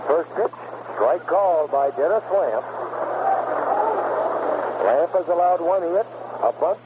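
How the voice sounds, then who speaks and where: An American man calling baseball play-by-play on 1970s radio in short, unhurried phrases with pauses. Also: a little high, animated. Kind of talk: live radio sports commentary, 1970s. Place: ballpark broadcast booth. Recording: dull, very noisy old AM radio broadcast.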